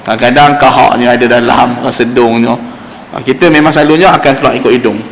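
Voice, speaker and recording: A man with a Malaysian Malay accent teaching Quran recitation in an informal, explanatory way, slowly demonstrating sounds as he goes. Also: medium pitch, steady tone. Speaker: religious teacher giving Quran recitation class. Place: mosque hall. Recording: roomy echoing hall, faint background noise.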